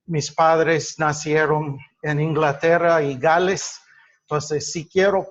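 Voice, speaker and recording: A middle-aged or older man from the United States, lecturing to a class in a halting, deliberate way with drawn-out pauses. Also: on the high side. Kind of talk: explanatory class lecture with personal examples. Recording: dull lo-fi sound.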